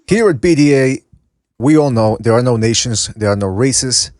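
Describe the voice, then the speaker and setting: A man's voice: mid-range voice, animated, moderate pace, a little mellow, dry recording, studio quality. A man hosting a casual call-in sports podcast over an online voice call. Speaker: podcast host.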